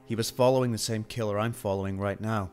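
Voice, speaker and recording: A man's voice: fairly low pitch, fairly even intonation, quick pace, a little mellow, faint music behind, natural voice. A man acting a hot-tempered, obsessive, weary police detective in an amateur radio drama, muttering and swearing. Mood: troubled. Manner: brooding, muttering to himself, swearing. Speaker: voice actor in amateur radio play.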